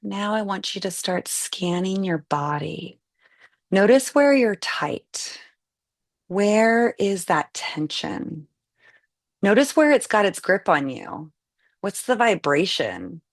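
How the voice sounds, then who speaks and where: A woman leading a live guided meditation, giving slow, soothing, gentle instructions. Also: medium pitch, very animated, no room sound, studio quality.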